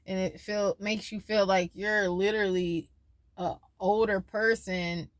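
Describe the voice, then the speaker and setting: A woman's voice: medium pitch, fairly even intonation, a little slow, dry recording. A woman in her twenties talking casually in a personal vlog, rambling and losing her train of thought as she shares her worries and her faith. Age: 20s. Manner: worried, faith-filled musing. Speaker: vlogger.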